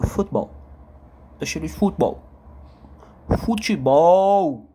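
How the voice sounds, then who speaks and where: A man in his thirties from Brazil, a native Portuguese speaker with a Brazilian accent, talking to camera in a confessional solo vlog, halting and heavy, often repeating himself. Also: on the high side, expressive, mellow, breathy edge. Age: 30s. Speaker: channel creator. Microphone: camera recording himself.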